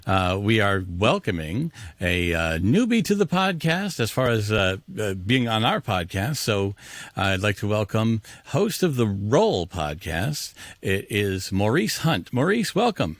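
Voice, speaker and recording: A man hosts a casual podcast in a fairly low voice. His pitch moves around a lot as he chats. The sound is dry and close. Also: big pitch swings, a little slow, no room sound. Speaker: podcast host.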